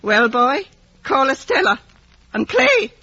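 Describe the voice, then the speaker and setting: A woman playing a bitter, decayed old recluse in a 1950s radio drama, brooding and theatrical with a husky voice. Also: high-pitched, sing-song, takes it slowly, loud music behind the voice, heavily acted. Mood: commanding. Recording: muffled vintage radio recording.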